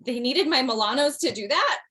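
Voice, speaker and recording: A woman's voice: sits high, animated, a little fast, bright, forceful tone, husky, heavily acted. A woman playing an overwhelmed, bossy widowed mother with a dry wit in a comedy table read over video call. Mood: exasperated, stern.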